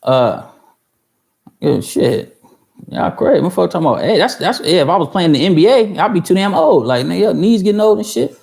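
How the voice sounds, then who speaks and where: A man's voice: high voice, very animated, fairly quick, creaky, a little mellow. A man in his thirties telling a long story on a livestream for music producers, rambling and swearing, with many fillers and restarts. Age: 30s. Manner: answers viewer chat mid-story.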